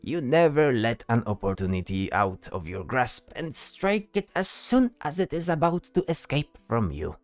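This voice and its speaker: A man's voice: mid-range voice, big pitch swings, normal speed, few pauses, no echo, faint music behind, lightly acted. A man voicing a bold, teasing bard in a fantasy audio drama, talking theatrically and tagging questions onto his sentences. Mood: playful, lecturing. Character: flamboyant male bard, teasing, bold, self-assured.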